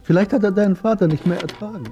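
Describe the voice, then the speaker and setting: An actor playing a kindly, wise and sly older shopkeeper in a radio drama, gentle, affectionate and teasing. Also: male voice, sits high, fast, soft background music. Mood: matter-of-fact.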